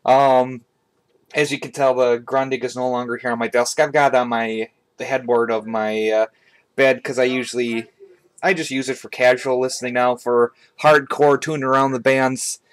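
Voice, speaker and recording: A man with a mid-pitched voice talks through a hobby gear review in a casual way. His delivery is halting and conversational, picked up by a webcam in a room at home. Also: level tone, clean tone. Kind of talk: casual product review to camera. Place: indoors, small room at home. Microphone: webcam on a tripod, speaker off camera.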